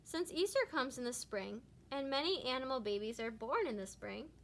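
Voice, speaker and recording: A woman voicing a kindly male bear preacher from a storybook, explaining things in a measured, earnest way. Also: high-pitched, lively intonation, a bit bright, slight background noise. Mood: patient explaining.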